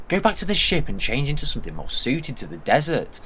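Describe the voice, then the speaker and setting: A man's voice: mid-range voice, huge pitch swings, normal speed, a bit bright, slightly rough, exaggerated voice. A man voicing a brusque, impatient time-traveling alien. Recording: dull lo-fi recording.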